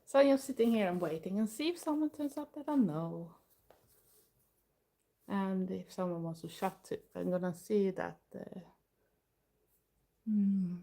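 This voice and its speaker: An older Swedish woman with a clear Swedish accent, talking English as her second language. Her voice is mellow and soft-edged at a middling pitch. She chats in a loose, unhurried way, filling the gaps while she watches for viewers. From Sweden. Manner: relaxed, chatty, pauses often to read the chat. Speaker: live streamer. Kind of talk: casual solo live stream chat.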